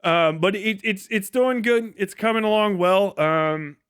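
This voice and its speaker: A man's voice: sits high, a little slow, fairly bright tone, clean tone, dry recording, clean studio sound. A man chatting casually on a livestream about his work, rambling and swearing heavily in a sardonic, exasperated way. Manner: heavily profane. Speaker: livestreamer and video creator giving a work update.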